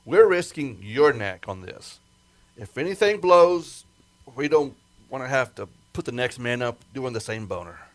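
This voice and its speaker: A man's voice: mid-range voice, lively intonation, measured pace, natural voice. Man acting an insistent rocket project chief. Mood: stern, cautioning. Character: gruff, careful male rocket project chief briefing his pilot. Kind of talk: amateur retro 1950s sci-fi radio play acting.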